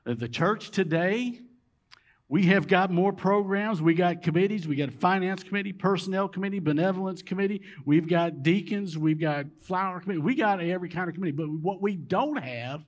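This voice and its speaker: A man, preaching a sermon in a large church sanctuary and swinging between loud emphasis and low, quiet drops. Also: a little fast. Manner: emphatic preaching, rising and dropping dramatically. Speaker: pastor. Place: large church sanctuary with rows of empty chairs.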